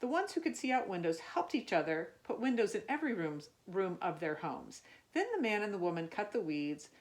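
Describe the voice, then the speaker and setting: A woman reading a children's picture book aloud as a storyteller, expressive and musing, as if wondering aloud to young listeners. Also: medium pitch, brisk, poor audio. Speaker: youth ministry storyteller.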